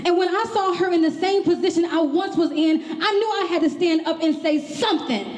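A woman's voice: very high-pitched, fairly quick, a little mellow. A woman delivering an impassioned monologue on stage. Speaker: stage performer. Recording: reverberant hall.

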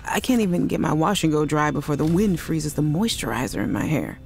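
An actress playing a worried woman scientist who leaves halting, apologetic voice messages for her sister in a video game. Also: low voice, very animated, creaky, darkish tone, exaggerated voice. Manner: anxious, apologetic, halting restarts. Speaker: game character.

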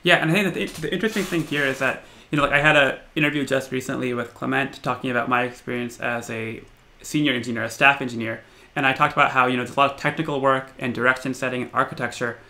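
A male host in his thirties talks quickly, in a bright, forward voice. He asks long, winding questions in an upbeat, casual way over a video call from home. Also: mid-range voice, steady tone. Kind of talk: casual interview talk.

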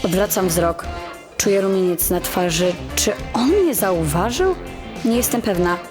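A young woman playing a shy, insecure high-school girl who tells her own story in an audio drama, quiet and confiding. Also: lively intonation, unhurried, lightly acted. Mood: nervous, introspective. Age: teenager. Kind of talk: audio drama first-person narration. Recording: music bed under the voice.

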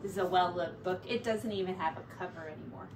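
A woman's voice: fairly low pitch, quick pace. A middle-aged woman, a teacher, narrating a children's picture book expressively. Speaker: teacher reading aloud to children. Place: small indoor bedroom. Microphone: distant camera microphone. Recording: roomy, distant mic, faint noise.

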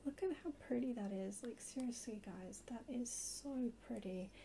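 A woman chatting softly in an ASMR haul video, hushed and often slipping into a whisper. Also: on the high side, normal speed, vocal fry. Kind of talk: soft-spoken ASMR haul chat. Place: indoors at a table. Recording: noisy close home recording.

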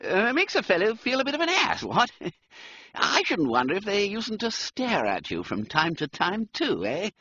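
A man voicing a genial, dithery young gentleman who stammers and hesitates through upper-class slang. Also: sits high, wildly swooping pitch, normal speed, breathy edge, no echo, pitched above the performer's own voice, heavily acted. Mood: nervous jolly. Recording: dull, dry, muffled sound.

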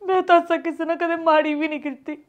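A woman's voice: extremely high pitch, level tone, fast talker, muffled recording. A woman playing a young wife who tearfully denies a false accusation. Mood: despairing. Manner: protesting, tearful.

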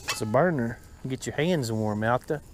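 A man's voice: medium pitch, expressive, normal speed. An older man with an Oklahoma accent, talking patiently with his grandkids at a lakeside campsite. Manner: gentle, patient, chuckling. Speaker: grandfather taking kids fishing. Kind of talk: casual outdoor family chat. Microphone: wearable camera. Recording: outdoor noise behind.

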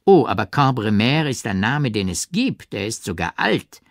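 A man's voice: mid-range voice, big pitch swings, moderate pace, creaky, no room sound, clean studio sound. A man voicing a gallant, plain-spoken old general, courteous and insistent, in an audiobook. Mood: admiring. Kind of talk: audiobook character reading.

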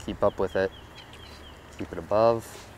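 A man's voice: lowish voice, unhurried, a little hiss or hum. A man vlogging while fly fishing on a riverbank, chatting casually to viewers and explaining his casting. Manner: relaxed, chatty, addresses viewers as guys. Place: outdoors on a riverbank. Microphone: head-mounted action camera.

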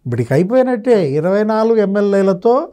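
High-pitched, mellow middle-aged man. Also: sing-song, fast, clear, resonant tone. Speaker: senior journalist, guest analyst. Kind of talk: conversational political commentary. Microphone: lapel mic.

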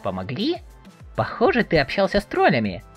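An eager, curious teenage boy acted by a woman in a webcomic dub. The voice sits fairly low and leaps around wildly in pitch. He talks in an excitable, inquisitive, naive way, with music underneath. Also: brisk. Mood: puzzled. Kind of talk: dramatic reading of a webcomic chat.

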